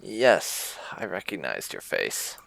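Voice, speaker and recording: Low creaky guy doing lets play commentary, dry muttering, pitch goes all over. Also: wildly swooping pitch, slow pace, fairly bright tone, low-quality recording. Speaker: gaming channel host. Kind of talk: casual gameplay commentary.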